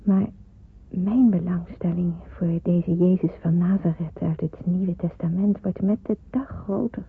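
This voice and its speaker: A earnest young woman in hiding in a radio drama. Her voice is very husky and breathy, and she speaks in a hesitant, searching way. The recording is thin and phone-like. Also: mid-range voice, full character voice. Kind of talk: Dutch radio drama acting.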